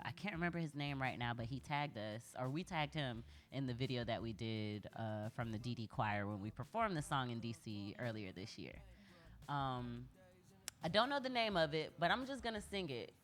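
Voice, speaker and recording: A woman with a low-pitched voice hosts a community radio show. She talks in a casual, reflective way, informal and heartfelt, often feeling around for the right word. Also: animated, moderate pace.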